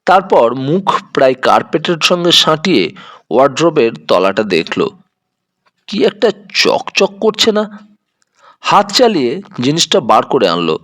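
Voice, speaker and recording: Man, story narrator, slightly high pitch, very expressive intonation, scripted detective narration.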